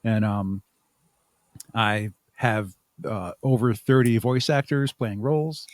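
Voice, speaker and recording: A man's voice: fairly low pitch, steady tone, slow pace, dry recording. A man speaking as a podcast guest in casual interview talk, explaining things thoughtfully. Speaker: podcast guest and audio drama creator.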